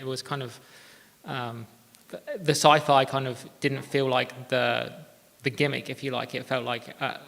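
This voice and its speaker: A man giving an unscripted appraisal on stage at a live event, thinking it through in halting phrases. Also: medium pitch, gravelly, a bit bright. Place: live event venue, indoors. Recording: echoey hall at a live event.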